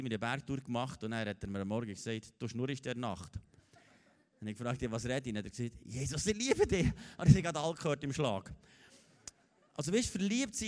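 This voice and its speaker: A man in his fifties with a Swiss German accent, preaching a lively sermon as a guest speaker, joking and rallying the congregation. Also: mid-range voice, fast. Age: 50s. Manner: exuberant, joking, rallies the crowd.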